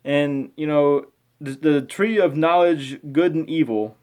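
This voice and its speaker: A man giving informal spoken commentary as a video host, halting, repeating himself and arguing his points. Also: fairly high pitch, steady tone, darkish tone. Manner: halting, repetitive, argumentative.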